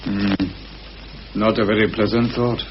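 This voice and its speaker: An actor playing a hearty, hinting chum in a vintage radio play, with a casual, old-fashioned manner. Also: male voice, lowish voice, little pitch movement, unhurried, close to an everyday voice. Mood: sober. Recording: dull, hissy old radio drama recording.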